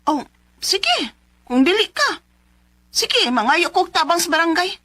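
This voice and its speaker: A woman's voice: extremely high pitch, very animated, measured pace, bright, forceful tone, no echo, clean studio sound, full character voice. A woman acting an aggrieved, stubborn young woman in her twenties in a radio drama, with a Cebuano accent and an emphatic, insistent manner. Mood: indignant. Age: 20s.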